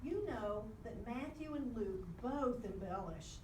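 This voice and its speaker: Sassy female puppet played by a woman, animated and teasing, live puppet show, echoey distant recording with clear noise. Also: fairly high pitch, measured pace. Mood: teasing, exasperated. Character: cheeky female puppet preaching her own puppet religion. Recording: echoey room, distant mic, clearly noisy.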